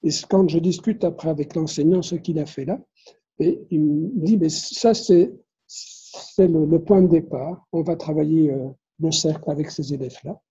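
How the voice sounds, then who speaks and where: A Belgian man whose first language is Dutch gives a slide presentation, his voice very dark and husky. He talks haltingly, restarting phrases and tagging them with "hein". The recording is dull and muffled. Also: fairly high pitch. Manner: hesitant, restarts often, tag "hein". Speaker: conference speaker, education trainer.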